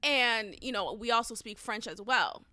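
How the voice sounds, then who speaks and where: A young woman podcast host telling a story in a casual, emphatic and animated way, often swearing. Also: a little high, normal speed, no echo, studio quality. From United States.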